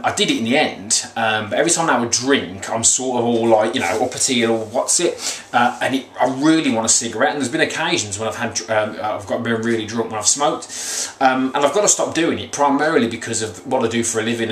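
A man in his thirties with a slightly croaky voice, giving a rambling, earnest motivational vlog talk as a coach. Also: mid-range voice, brisk, a bit bright. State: slightly croaky after a run. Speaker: weight loss coach. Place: small room at home, indoors. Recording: echoey small room, faint background noise.

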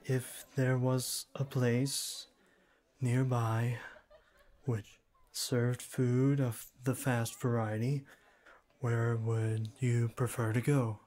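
A man playing a shy young classmate in an ASMR roleplay, speaking formally and stiffly with hesitant stammers and a cool, deadpan manner. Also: mid-range voice, very slow. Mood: awkward, nervous. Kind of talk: ASMR roleplay, scripted one-sided dialogue.

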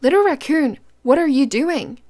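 A woman's voice: sits high, expressive, moderate pace, a little mellow, voice pushed up. A woman voicing a caring, attentive mother raccoon in a children's storybook, speaking in a motherly way to her child. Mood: curious. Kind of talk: children's storybook read-aloud.

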